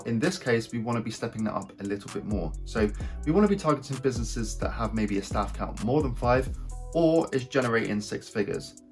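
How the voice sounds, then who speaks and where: A man in his thirties speaks at a middle pitch, his voice a bit croaky after a cold. He talks upbeat and direct to camera from a small home office, calling his viewers "guys". Faint guitar music plays softly underneath. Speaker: web design channel host. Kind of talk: scripted talking-head tutorial.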